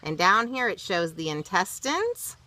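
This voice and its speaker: Animated woman reading aloud to children. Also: on the low side, measured pace, poor audio. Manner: expressive, explains simply to young listeners. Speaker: storyteller reading a picture book to children. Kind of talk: read-aloud with chatty asides.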